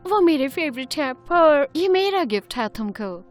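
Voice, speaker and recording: A young woman with a high voice tells an animated story in the first person. She sounds theatrical and condescending, playing a bossy, teasing, self-assured character over background music. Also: a little slow. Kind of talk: scripted animated storytelling with acted dialogue.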